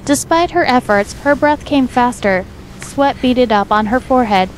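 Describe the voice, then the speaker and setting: A woman's voice: a little high, normal speed, a little hiss or hum, poor audio. A woman narrator reading a dramatic fiction story from a script.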